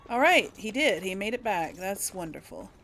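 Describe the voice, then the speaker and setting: A woman's voice: mid-range voice, sing-song, normal speed, a bit bright, slight background noise. A woman hosting a casual video game let's play, thinking aloud as she explores, with faint game sound behind her.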